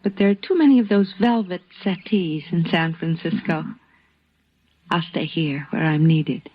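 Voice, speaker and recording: Faintly hissy vintage radio, woman acting a frontier doctor, lowish voice, dignified, resolute. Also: normal speed, close to an everyday voice. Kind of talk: 1950s radio Western acting. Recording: muffled old radio recording with hiss.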